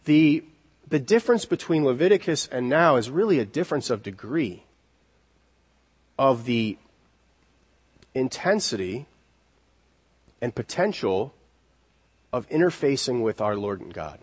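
A man preaching an expository sermon in an earnest, deliberate way, repeating key words for emphasis. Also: mid-range voice, big pitch swings, slightly rough. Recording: long reverberant hall echo.

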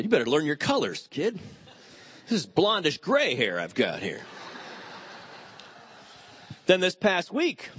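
Lively man in his fifties preaching. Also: fairly high pitch. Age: 50s. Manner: animated storytelling with quoted voices. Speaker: pastor. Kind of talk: sermon.

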